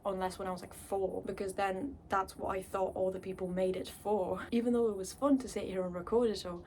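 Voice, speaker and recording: A young woman whose first language is Polish talks softly in a casual, rambling vlog from her room. Her voice sits at a middle pitch, kept low so as not to wake anyone. A faint hiss runs under the recording. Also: flat delivery, brisk. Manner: talking quietly late at night. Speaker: YouTuber.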